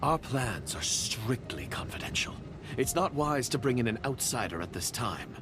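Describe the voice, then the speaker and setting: A man's voice: mid-range voice, big pitch swings, normal speed, background noise, natural voice. A man voicing a guarded male conspirator in a video game, speaking with suspicious caution.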